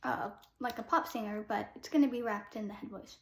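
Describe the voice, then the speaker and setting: A young woman who sings soprano, teaching a casual singing lesson. She explains with short sung demos and fills pauses with "um.". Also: a little high, creaky, echoey room. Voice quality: soprano speaking voice.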